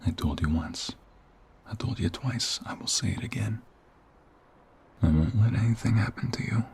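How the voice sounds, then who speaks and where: A man playing a protective, tender husband in an ASMR roleplay, speaking softly and intimately, often near a whisper. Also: lowish voice, normal speed, husky. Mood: reassuring, protective. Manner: soft, intimate, reassuring, often dropping to a whisper.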